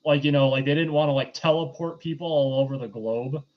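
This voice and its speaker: A man hosting a film review show in casual chat, talking in a halting, loosely conversational way and often backtracking. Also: on the high side, highs cut off.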